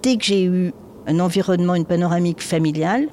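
A woman's voice: fairly low pitch, fast talker, dark tone, slight background noise. Plain-spoken woman in her sixties. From southern France. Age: 60s. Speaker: restaurant chef interviewed. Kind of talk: casual spoken recollection.

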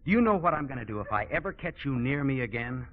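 An American actor in 1940s radio drama playing a gruff, sarcastic theater man with an impatient, barking manner. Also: medium pitch, big pitch swings, fast talker, close to an everyday voice. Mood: exasperated. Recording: dull radio recording, music behind.

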